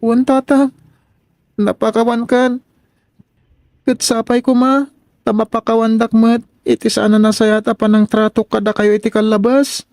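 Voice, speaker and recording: Man acting young adopted son, hurt and indignant, radio drama, high-set male voice, dry studio sound. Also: little pitch movement, moderate pace, long pauses, a little mellow, no room sound, faint music behind. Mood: tearful, reconciling.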